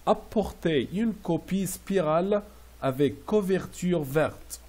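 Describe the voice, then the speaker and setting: A man teaching French classroom phrases, repeating each slowly and translating it into English. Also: high voice, animated, darkish tone. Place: Riyadh.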